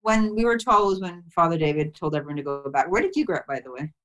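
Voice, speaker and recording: A woman who grew up in Thailand, speaking as a memoir author and guest in a casual chat, reflective and soft-spoken with many "you know" fillers and light laughs. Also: fairly low pitch, expressive, fast. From grew up mostly in Thailand.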